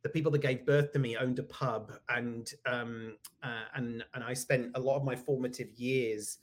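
A man's voice: medium pitch, steady tone, moderate pace. A British man in his forties, a guest in a casual interview, speaking reflectively with self-deprecating humor and frequent ums. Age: 40s. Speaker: guest, executive coach.

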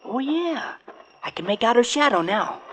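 A woman's voice: a little high, big pitch swings, normal speed. A woman playing an eager, earnest young sidekick to a detective in 1940s radio drama style. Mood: hushed excitement. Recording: noisy old radio recording.

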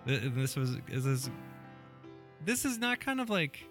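A man's voice: on the high side, sing-song, measured pace. A man hosting a podcast, chatting casually about music and often rephrasing as he goes. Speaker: podcast host interviewing a guest.

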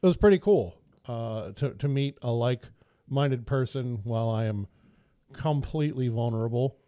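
A man's voice: mid-range voice, normal speed, no echo, phone-quality sound. A man co-hosting a casual podcast from a small studio, telling rambling, self-deprecating stories. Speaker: podcast co-host. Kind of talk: casual storytelling chat.

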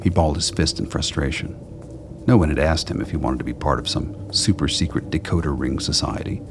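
An exceptionally deep-voiced man narrates a radio play. His voice crackles with gravelly fry, and noticeable noise sits behind it. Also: a little fast. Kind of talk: scripted radio drama narration. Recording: clear background noise.

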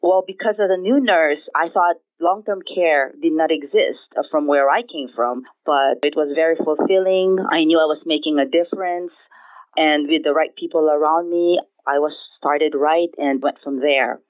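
A woman's voice: sits low, moderate pace. A middle-aged woman from the Philippines with a Filipino accent, answering conversationally as an interview guest. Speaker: interview guest, director of nursing. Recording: tinny phone line.

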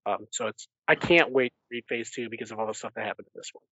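A man's voice: mid-range voice, fast, sharp tone, no echo. Enthusiastic, rambling man, dry slightly dull recording. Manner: rambling, enthusiastic retelling with acted-out asides. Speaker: podcast host. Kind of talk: casual book recap discussion.

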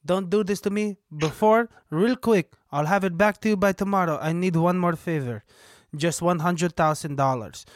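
Guy doing israeli con man boyfriend, sweet talking but menacing, kinda high voice. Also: normal speed, no room sound, clean studio sound, close to an everyday voice. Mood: pleading, sweet-talking. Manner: sweet-talking, pleading, then threatening.

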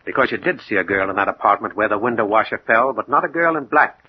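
An actor playing a cold, self-assured gangster boss with blunt, matter-of-fact menace in a 1940s radio drama. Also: male voice, on the low side, expressive, quick pace, natural voice. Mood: cool menace. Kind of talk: 1940s radio crime acting. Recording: muffled, noisy 1940s radio recording.

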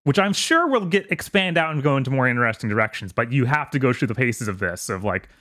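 A man's voice: mid-range voice, animated, fast, sharp tone. Upbeat, rambling male gamer. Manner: easygoing, rambling, laughs often. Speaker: podcast co-host. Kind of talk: casual chat about video games.

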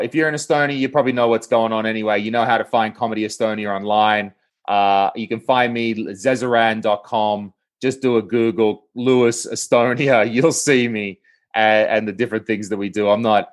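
A man's voice: lowish voice, normal speed. A man with an Australian accent, a comedy producer talking as a podcast guest in a casual, jokey way. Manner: animated, jokey, laughs often. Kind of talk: casual interview chat.

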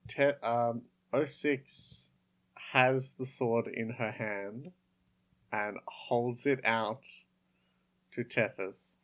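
A man narrating his character's actions in a tabletop game, casual and a little halting. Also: medium pitch, fairly even intonation. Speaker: player in an actual play podcast.

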